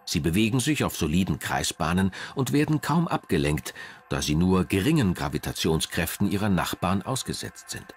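Deep, expressive male documentary narrator. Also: moderate pace, slight background noise. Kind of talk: scripted narration.

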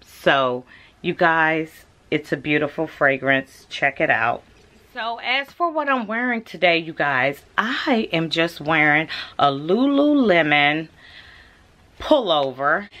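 A woman's voice: sits low, a bit bright. A woman, chatting casually to camera as a vlogger and calling her viewers "y'all". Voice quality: raspy.

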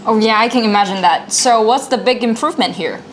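A woman's voice: fairly high pitch, brisk, a little hiss or hum, poor audio. A woman in her thirties co-presenting in a scripted product dialogue, responsive and inquisitive. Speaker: co-presenter asking questions.

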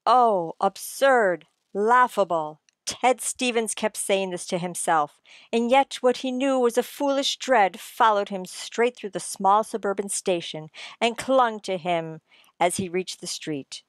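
A woman's voice: mid-range voice, measured pace, dry recording. A woman narrating a suspense radio play in a dramatic, scripted storytelling style.

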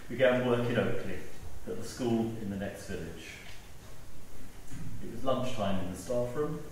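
A man giving an informal, gently humorous anecdotal eulogy at a memorial service. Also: mid-range voice, a little slow. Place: large stone church, indoors. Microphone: far camera. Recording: echoey church, distant mic, noisy.

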